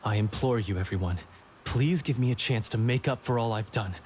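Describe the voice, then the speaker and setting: A man's voice: on the low side, brisk, a little mellow, slight background noise, natural voice. An actor playing an earnest, repentant young man who speaks sincerely and formally, with game music behind. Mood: pleading.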